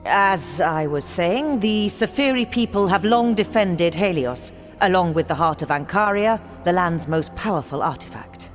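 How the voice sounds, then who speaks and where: An actress voicing a stern, middle-aged resistance leader in a video game, grave and authoritative. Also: female voice, lowish voice, expressive, normal speed, hardly any pauses, soft background music, close to an everyday voice. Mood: grave, briefing. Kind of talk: game cutscene briefing.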